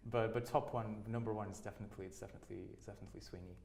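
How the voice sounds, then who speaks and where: Portuguese man, thirties, lowish voice, halting and repetitive in interview. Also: steady tone, darkish tone, a little hiss or hum. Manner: hesitant, many restarts and repeated words. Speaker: opera singer, interviewee. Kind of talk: casual interview answers.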